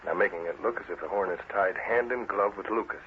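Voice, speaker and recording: A man's voice: lowish voice, fast, close to an everyday voice. An actor playing a brash, corrupt political henchman in an old radio drama, with clipped speech. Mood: cocky, scheming. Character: cocky, corrupt political henchman, defiant under threat. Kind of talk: 1940s radio drama acting. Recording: noisy, muffled old radio recording.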